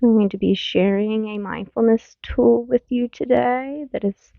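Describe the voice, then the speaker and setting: A woman speaks as a mindfulness teacher, her voice heavily laced with vocal fry. She guides a relaxation practice gently and very slowly, leaving room between phrases. The sound is thin and narrow, like a phone line. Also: fairly high pitch, fairly even intonation, no room sound. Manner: gentle, unhurried, soothing, with long pauses. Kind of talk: guided meditation, body scan.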